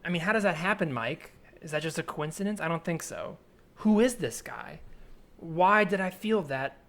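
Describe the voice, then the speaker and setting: A man improvising an earnest, obsessive man in his twenties in a naturalistic comedy scene, speaking haltingly with pleading intensity. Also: on the high side, expressive, fairly bright tone. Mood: intense, searching. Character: earnest obsessive 27-year-old man haunted by flip-flops. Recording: remote recording with added ambient soundscape noise.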